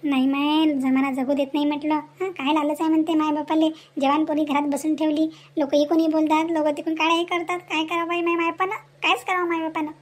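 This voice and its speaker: Woman acting anxious cartoon mother, extremely high pitch, plaintive, aggrieved, pleading, Varhadi Marathi accent. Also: flat delivery, fast, few pauses, a little hiss or hum, lightly acted. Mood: worried. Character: anxious, aggrieved village mother of a young daughter. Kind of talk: amateur cartoon melodrama.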